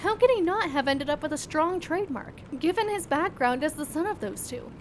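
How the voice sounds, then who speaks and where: An informal, curious doctor in an audio drama. Her voice is high, with a casual, teasing way of talking. Faint noise lies underneath. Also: animated, brisk. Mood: concerned, curious. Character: informal, teasing, inquisitive female doctor at a school.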